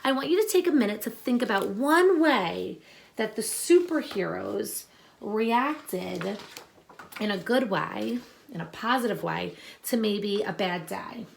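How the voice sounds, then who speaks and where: A woman teacher reading a picture book aloud to children, expressive and instructive, pausing to explain and ask questions. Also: mid-range voice, vocal fry, slight background noise. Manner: deliberate, instructive, addressing young listeners with questions.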